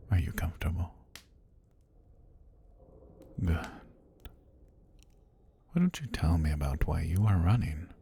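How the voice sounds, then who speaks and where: A man performs an ancient male serpent god with a low, dark voice. He speaks in formal, whispery phrases that rise and fall, intimate as ASMR. He is calm and tender, with a predatory edge. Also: heavily acted. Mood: calm, curious. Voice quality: husky, breathy low voice. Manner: slow, deliberate, formal speech with whispery delivery. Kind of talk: intimate ASMR roleplay monologue.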